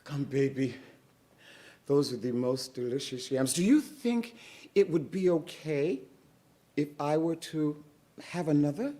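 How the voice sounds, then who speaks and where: A prim, short-tempered woman with fussy manners, as a male storyteller puts her on. Her high, swooping voice turns from sugary politeness to exasperated scolding. She stretches her words out slowly. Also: lively intonation, warm tone. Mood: sweetly polite.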